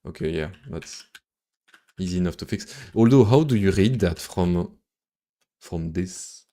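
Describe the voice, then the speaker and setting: French accent guy muttering thru a coding stream, really deep soft-edged voice, kinda hesitant n casual. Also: animated, a little slow. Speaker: live-streaming programmer. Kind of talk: casual think-aloud coding commentary.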